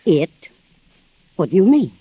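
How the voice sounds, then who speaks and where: An actress playing a concerned, deferential household attendant with a husky voice in a 1940s radio mystery. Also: female voice, lowish voice, very animated, slow, warm tone, dry recording, muffled recording, heavily acted. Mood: concerned, discreet. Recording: vintage 1940s radio recording with hiss.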